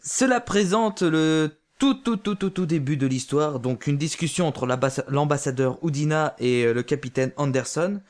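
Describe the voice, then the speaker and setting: A man with a slightly higher voice talks through a review of an amateur audio drama. He hesitates often, filling gaps and restarting his sentences as he goes. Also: expressive, moderate pace, hardly any pauses, a little mellow. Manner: hesitant, many fillers and restarts. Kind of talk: casual spoken review.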